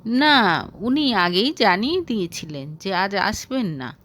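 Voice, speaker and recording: A woman's voice: medium pitch, sing-song, slow, fairly bright tone, heavily acted. A woman voicing a reserved, polite young female office employee in an audio story. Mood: cool, polite.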